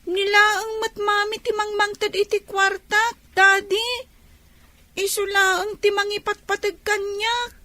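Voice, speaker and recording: A woman's voice: extremely high pitch, level tone, slow, bright, forceful tone, dry recording, full character voice. A woman playing a sweet, innocent little child in a radio drama, with an Ilocano accent. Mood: innocent, questioning.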